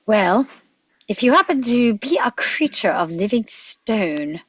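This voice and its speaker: A woman reading the part of an eccentric, quick-witted male adventurer doctor, wry and musing, in a script read-through. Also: medium pitch, sing-song, fairly bright tone, breathy edge, full character voice. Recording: dull lo-fi microphone.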